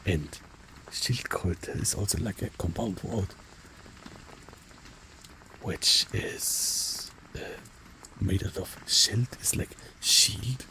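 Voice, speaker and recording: A low, gravelly man talks through a vocabulary lesson at an unhurried pace. He often drops into a whisper to repeat each word several times. Faint rain patters in the background. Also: slight background noise, low-quality recording. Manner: soft-spoken, often whispers words, repeats them slowly. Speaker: German vocabulary teacher. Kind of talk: relaxed spoken language lesson with whispered repetitions.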